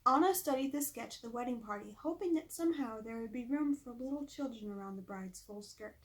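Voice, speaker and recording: Expressive higher-voiced woman reading a storybook. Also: a little fast. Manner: expressive, chatty asides to listeners. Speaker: author reading own book aloud. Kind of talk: storybook read-aloud narration.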